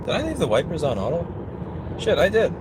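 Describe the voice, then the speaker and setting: A man with a fairly high, animated voice argues his points bluntly and casually, swearing freely. He is recorded inside a moving car, with clear road noise around the voice. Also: fairly quick. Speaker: repair technician hosting a livestream. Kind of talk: casual argumentative conversation.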